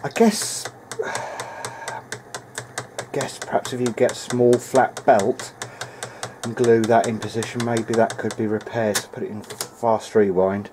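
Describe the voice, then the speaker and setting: A man's voice: on the low side, fairly even intonation, slow, long pauses, noisy background. A man thinking aloud in a hesitant way as he gives casual hands-on repair commentary at an indoor workbench. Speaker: hobbyist repairer presenting a video diary.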